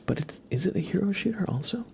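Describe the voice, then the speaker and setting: A man's voice: high-pitched, lively intonation, fast talker, gravelly, darkish tone, slight background noise. A young man whispering casual, rambling chat as the host of an ASMR livestream, often hesitating.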